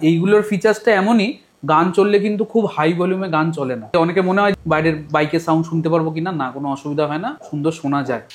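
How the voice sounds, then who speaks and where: Shop guy explaining helmet stuff real fast, matter of fact, bit high voice. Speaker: shop owner. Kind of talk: explaining products.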